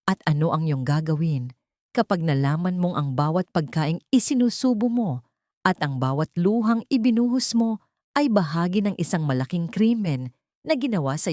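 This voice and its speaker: Low-pitched woman narrating dramatic fiction. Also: warm tone, dry recording, studio quality. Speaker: audio story narrator. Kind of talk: dramatic scripted story narration.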